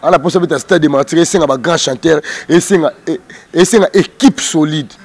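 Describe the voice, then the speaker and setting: Fast, combative Congolese man. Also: a little high. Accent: Congolese (Kinshasa). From Democratic Republic of the Congo. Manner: emphatic, repeats words, combative. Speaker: interview guest, music group spokesman. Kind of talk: heated street interview in Lingala mixed with French. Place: outdoors on a porch. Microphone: handheld interviewer's microphone.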